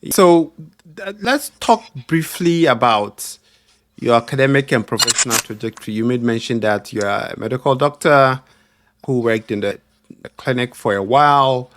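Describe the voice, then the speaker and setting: A man's voice: medium pitch, normal speed, fairly bright tone, a little hiss or hum. A man hosting an academic interview podcast, friendly and encouraging as he introduces and questions his guest. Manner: friendly, encouraging, laughs along. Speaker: podcast host.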